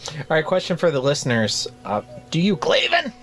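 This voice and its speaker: A man co-hosting a casual book club podcast, rambling through jokes in a deadpan way. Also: fairly high pitch, moderate pace, soft background music. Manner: joking, rambling deadpan bits. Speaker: podcast co-host.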